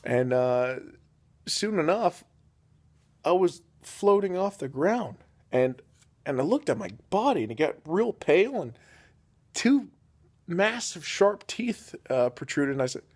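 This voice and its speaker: A young man telling an absurd comic story off the cuff, rambling and deadpan with many fillers. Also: on the high side, unhurried, slightly rough. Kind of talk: improvised comedic storytelling.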